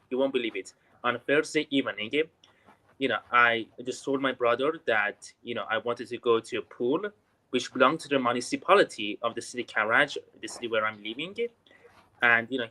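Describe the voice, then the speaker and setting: Bright-voiced Iranian man, hesitant English. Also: mid-range voice, low-quality recording. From Iran. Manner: hesitant, many "you know" fillers. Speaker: learner calling in. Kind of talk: conversational English practice. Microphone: remote call audio.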